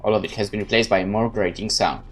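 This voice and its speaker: A man's voice: fairly low pitch, fairly even intonation, slight background noise. A man giving a theatrical first-person reading of a horror visual novel. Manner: measured, theatrical narration. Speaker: game let's-play narrator.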